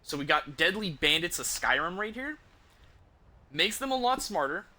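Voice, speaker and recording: A man talking casually on a game livestream, rambling in an informal, enthusiastic and halting way. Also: sits high, sing-song, pushed and bright. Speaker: game streamer.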